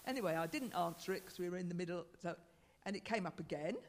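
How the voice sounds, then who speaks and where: A woman's voice: lowish voice, fast talker. A woman sharing a testimony at church, rambling and breaking off mid-thought. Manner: rambling, self-interrupting, laughs often. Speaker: congregation member sharing a testimony. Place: church hall. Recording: long hall reverb with faint hiss.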